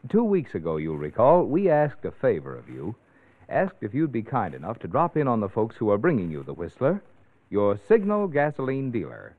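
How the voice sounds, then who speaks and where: Lively male vintage radio announcer. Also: lowish voice. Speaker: cast spokesman delivering sponsor message. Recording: tinny old radio, narrow band.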